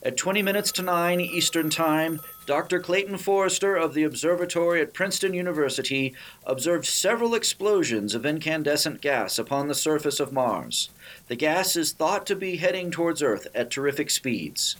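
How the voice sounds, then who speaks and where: A man playing an urgent, loud newsman in a spoof 1930s radio bulletin. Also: fairly high pitch, expressive, moderate pace. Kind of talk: formal news bulletin, radio drama spoof.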